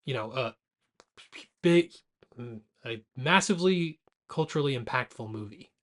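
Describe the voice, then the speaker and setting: A man in his late twenties chats as a podcast co-host over drinks. His way of talking is informal and joking, with a middling pitch, as he discusses movies. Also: expressive, normal speed, a bit bright. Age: late 20s. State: drinking alcohol.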